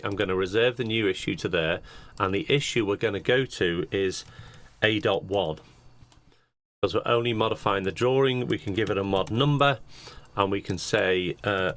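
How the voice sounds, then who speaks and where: Low-voiced man demonstrating software step by step. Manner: methodical, explanatory, step-by-step. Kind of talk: screen-share tutorial narration, unscripted walkthrough. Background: computer keyboard typing.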